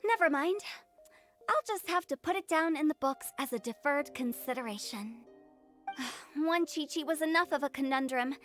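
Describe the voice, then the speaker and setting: An actress voicing a playful, mischievous funeral parlor director in a video game, with a teasing, theatrical and quick-witted manner. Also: female voice, high voice, fairly bright tone, faint music behind.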